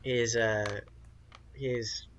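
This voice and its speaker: A man runs a tabletop game, improvising scenes in a casual, halting way. His voice sits at an average pitch, and the recording is slightly dull. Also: steady tone, fairly bright tone, a little hiss or hum. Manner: halting, casual, searching for words. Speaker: game master.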